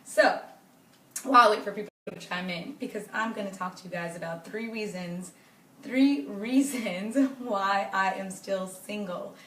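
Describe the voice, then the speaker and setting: A young woman with a hoarse, raspy voice, chatting earnestly with viewers during a live stream from her home. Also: mid-range voice, a little slow, fairly bright tone, recorded from a distance. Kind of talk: casual faith-based advice talk to viewers. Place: home living room. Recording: echoey room, voice off-mic.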